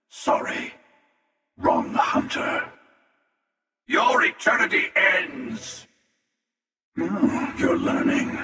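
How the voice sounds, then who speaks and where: Game villain: older male cosmic hunter, slow gravelly rasp, smugly sardonic and menacing, drenched in reverb. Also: high-pitched, fairly bright tone, heavily acted. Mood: defiant, menacing, then wry, superior. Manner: sardonic, measured, taunting. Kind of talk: video game character dialogue. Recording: echoing long reverb, distant sound.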